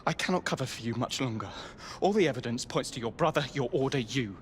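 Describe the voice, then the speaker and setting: A man's voice: mid-range voice, animated, fast, slight background noise, close to an everyday voice. An actor playing a stern male police inspector, firm and weary, speaking with authority. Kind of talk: video game cutscene acting.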